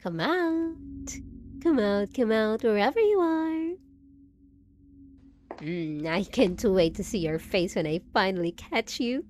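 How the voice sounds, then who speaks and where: A woman acts out an undead bride in an audio drama, possessive and unhinged. She speaks very slowly at a medium female pitch, cooing endearments with theatrical menace. There is clear background ambience under the voice. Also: animated, a little mellow, a little hiss or hum. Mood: playful, predatory. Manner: theatrical, cooing, drawn-out endearments with sinister sweetness. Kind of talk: scripted roleplay audio drama monologue.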